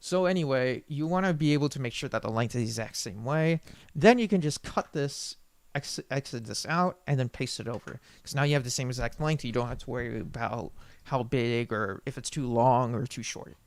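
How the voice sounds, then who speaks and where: A man's voice: mid-range voice, a little fast, no room sound. Male tutorial presenter, informal and rambling, self-correcting, unscripted walkthrough style, dry close sound. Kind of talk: unscripted software screen-recording tutorial.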